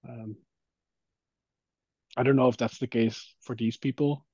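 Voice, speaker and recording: A man's voice: mid-range voice, fairly even intonation, unhurried, darkish tone, breathy edge, no echo. A man in a casual work call discussion, talking informally and thinking aloud as he explains his view. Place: online call. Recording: dry, dull call audio.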